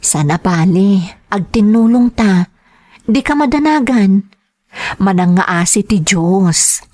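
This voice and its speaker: A woman playing a devoted, reassuring wife and mother in a radio drama, with an Ilocano accent and expressive, emotive delivery. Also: mid-range voice, slow pace.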